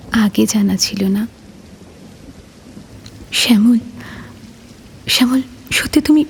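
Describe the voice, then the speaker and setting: A woman playing a lonely forty-year-old reading out her tender letter, hesitant, intimate and breathy, in an audio drama. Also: on the high side, a little mellow, in a large hall, a little hiss or hum. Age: 40s. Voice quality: breathy, half-whispered. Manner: hesitant, intimate, repeating phrases.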